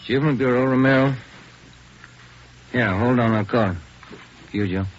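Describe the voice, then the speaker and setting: An actor playing an easygoing, practical police detective in a 1950s radio drama, with a casual, plainspoken manner. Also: male voice, mid-range voice, moderate pace, lightly acted. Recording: muffled, noisy old radio recording.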